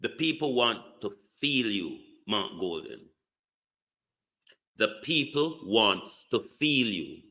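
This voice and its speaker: A man's voice: lowish voice, very animated, slow pace, sharp tone. A man giving an emphatic political commentary monologue, full of repeated rhetorical questions. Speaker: online commentator.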